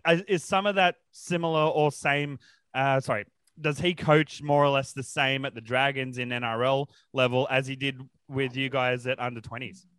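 Casting: male Aussie podcast interviewer, crisp clean tone, very dry sound, matey and keen, casual phrasing. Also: medium pitch, fairly even intonation, normal speed, a bit bright, no echo. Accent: Australian. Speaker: sports podcast host.

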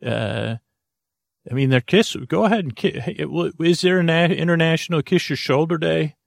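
Gravelly man rambling through a podcast intro. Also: a little high, moderate pace, no echo. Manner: meandering, self-interrupting, playful deadpan humor. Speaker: podcast host.

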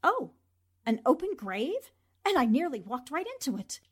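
Casting: plucky young newspaperwoman sleuth, played by a woman, higher-pitched, flippant and arch, for 1940s-style radio drama. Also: big pitch swings, moderate pace, breathy edge, exaggerated voice. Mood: muttering, wry. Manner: talks to herself, flippant, arch.

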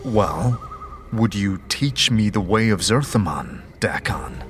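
A man's voice: lowish voice, lively intonation, slow, a bit bright, faint music behind. A man voicing a curious male wanderer in a plain, slightly hesitant way. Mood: earnest. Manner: plain, hesitant spoken dialogue.

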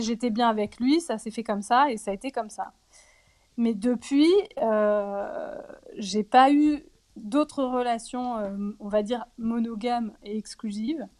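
Woman in her 40s, kinda high voice, thoughtful and hesitant, lots of little pauses. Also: a little mellow. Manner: reflective, hesitant, many pauses and fillers. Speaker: interviewee. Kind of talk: candid personal testimony.

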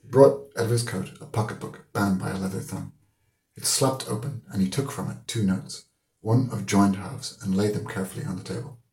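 A man's voice: fairly low pitch, brisk, darkish tone. A man with an Irish accent reading literary narration as an audiobook reader. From Ireland. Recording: roomy echo.

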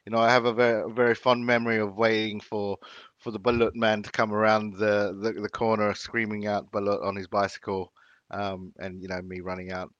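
A man's voice: lowish voice, a bit bright, no echo, dull audio. A man, talking casually in an interview, with many fillers and restarts. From Philippines, raised in Sydney. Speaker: chef.

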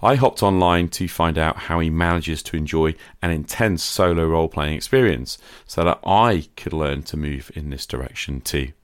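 A man's voice: extremely low pitch, moderate pace, clear, resonant tone, dry recording, studio quality. A British man hosting a podcast, rambling and self-deprecating with many fillers, getting over a cold. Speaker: podcast host. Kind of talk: casual podcast chat.

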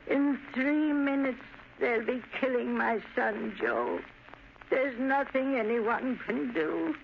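High-voiced, anguished old-radio mother. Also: slow, gravelly. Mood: anxious, grieving. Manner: pleading, fretful, faltering. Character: anguished, protective mother of a killer son. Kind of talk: old-time radio melodrama acting. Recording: noisy, muffled vintage radio recording.

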